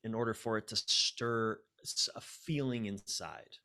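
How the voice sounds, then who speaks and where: A man speaking as a coach and guest in a podcast conversation, explaining ideas reflectively and haltingly, repeating key words for emphasis. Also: on the low side, sharp tone.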